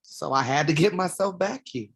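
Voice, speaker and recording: A woman playing a solemn storyteller in a staged reading of a play, with measured, declamatory delivery. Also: low voice, animated, husky, heavily acted. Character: solemn storyteller passing on ancestral wisdom, then urgent.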